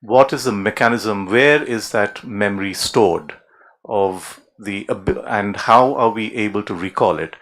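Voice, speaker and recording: A man's voice: on the low side, a little slow, a bit bright. A man moderating a panel discussion, speaking thoughtfully and hesitantly with many fillers.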